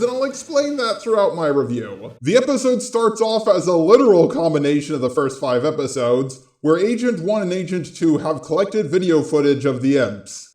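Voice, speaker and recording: A man's synthetic robot voice narrating a scripted review in a deadpan, sarcastic, sweary way. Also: high-pitched, reverberant. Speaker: video reviewer.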